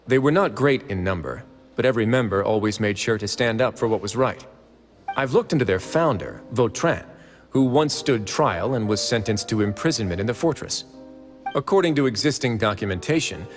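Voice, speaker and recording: A man voicing a confident, easygoing prison warden in a video game, speaking in a relaxed, persuasive, assured way. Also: lowish voice, long reverb tail, close to an everyday voice.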